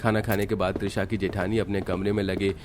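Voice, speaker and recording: A man tells an animated story as its narrator. His voice sits fairly low and follows a written script. There is faint noise in the background. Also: a little fast.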